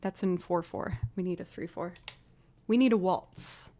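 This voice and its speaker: A woman with a low alto voice, livestreaming as a musician and chatting casually while she builds loops, often thinking out loud. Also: measured pace, noisy background. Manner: chatty, talks aloud to herself.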